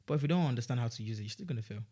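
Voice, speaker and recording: A young man with a Nigerian accent talks casually as a guest on a studio podcast. He rambles and restarts his sentences, in a mid-pitched voice. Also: expressive, racing. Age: young adult. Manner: rambling, many restarts and fillers. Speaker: student guest.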